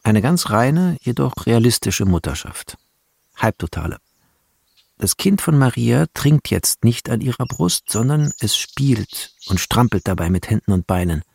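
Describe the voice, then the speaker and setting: Radio drama: intense male filmmaker, creaky and lively in pitch, reading screenplay shots and reflections. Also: a little slow, no echo, heavily acted. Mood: focused, descriptive.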